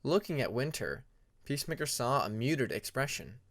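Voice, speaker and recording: A man narrating a fantasy story as an audiobook, with expressive scripted delivery. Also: medium pitch, moderate pace, dry recording, clean studio sound. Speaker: audiobook narrator of fan fiction.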